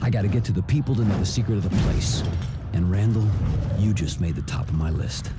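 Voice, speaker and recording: A man's voice: on the low side, brisk, very noisy, lightly acted. A man playing a grim, vengeful antihero in a video game, speaking in a brooding, menacing way. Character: grim, vengeful male antihero on a personal hunt. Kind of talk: video game cutscene monologue.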